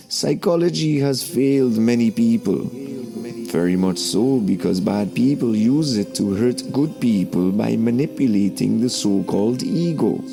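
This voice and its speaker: A man narrates a children's teaching story in a fairly low voice at a very slow pace. He is deliberate and preachy, leaning on key words, with music clearly playing behind him. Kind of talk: scripted storytelling narration with a spiritual lesson. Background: background music.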